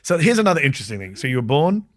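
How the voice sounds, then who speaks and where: A man, hosting a podcast interview in a loud, quick, teasing way. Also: mid-range voice, big pitch swings. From England. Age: 40s. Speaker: podcast host. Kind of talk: casual interview chat. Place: studio in London.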